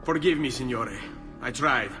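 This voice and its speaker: An actor playing a determined, wry young assassin hero with an Italian accent in a video game. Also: male voice, medium pitch, moderate pace, lightly acted. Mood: grave. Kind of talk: video game cutscene acting. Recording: loud music behind.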